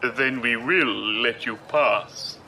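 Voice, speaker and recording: Very bright, formal, courteous manservant. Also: male voice, medium pitch, slow pace, a little hiss or hum, poor audio. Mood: formal, firm. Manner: formal, deferential, precise. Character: courteous, formal, loyal male aide to noblewoman. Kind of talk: audio drama acting.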